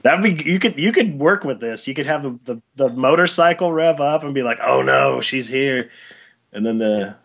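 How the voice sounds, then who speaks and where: An American man from Wyoming, a band musician giving an interview in a laid-back, slangy, halting way. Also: medium pitch, animated, vocal fry, fairly bright tone, dull audio. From Wyoming, United States. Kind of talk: casual chat.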